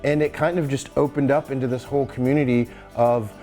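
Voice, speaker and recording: A man in his forties, a cooking show contestant, talking in a relaxed, conversational way. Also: mid-range voice, brisk. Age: 40s. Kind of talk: interview. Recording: faint music bed.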